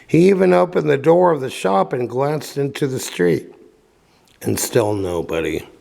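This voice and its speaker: A man reading a children's story aloud as narrator, with deliberate dramatic pauses. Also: mid-range voice, animated, gravelly, dark tone. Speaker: audiobook reader.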